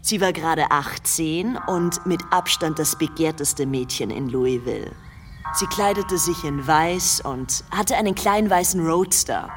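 A worldly young society woman telling her memories in a radio play. Her voice is low and composed, and music plays clearly behind her. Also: unhurried. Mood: reminiscing, composed. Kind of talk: radio play storytelling in German.

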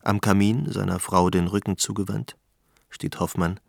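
A man narrating a German radio drama in a measured, deliberate, sombre literary style. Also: sits low, level tone, vocal fry, no echo, studio quality.

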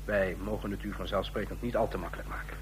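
An actor in a radio play playing a stern, condescending ambassador of a fascist state, speaking in formal, measured officialese. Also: male voice, lowish voice, fairly even intonation, natural voice. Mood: businesslike, commanding. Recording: clear hum and noise, old radio recording.

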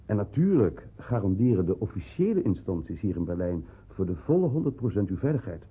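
An actor playing a courteous, grave consulate official in a radio drama, speaking formally and with measured emphasis. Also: male voice, sits low, big pitch swings, husky, exaggerated voice. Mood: grave, insistent. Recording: muffled, phone-like old radio recording.

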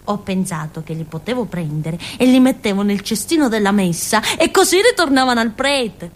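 An actress playing a naive, excitable village simpleton girl in a theatrical monologue, with a Calabrian accent and a rambling, repetitive, childlike manner. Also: mid-range voice, moderate pace, lightly acted. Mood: confiding, earnest.

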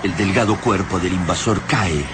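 An Argentine actor narrating a science fiction radio drama in a grave, literary manner. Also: male voice, deep voice, normal speed, noisy background, lightly acted. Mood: grave, dramatic. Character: grave male narrator of an alien invasion tale. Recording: loud music under the voice.

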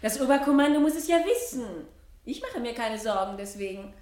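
An actress plays a frivolous, carefree wife in a 1970 radio play. Her high voice is airy and affected, with audible room echo. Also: animated, fairly quick, background noise, natural voice. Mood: breezy, complacent. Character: frivolous, complacent society wife, breezily carefree. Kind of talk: 1970 German radio drama acting. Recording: roomy vintage radio drama recording.

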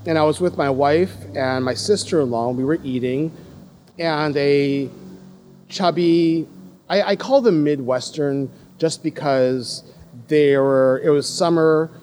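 A man's voice: fairly high pitch, unhurried, a little mellow, slight background noise. A man in his thirties from Los Angeles with an American accent, telling personal stories casually, often laughing and restarting his sentences. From Los Angeles, USA. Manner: laughing, self-deprecating, many restarts.

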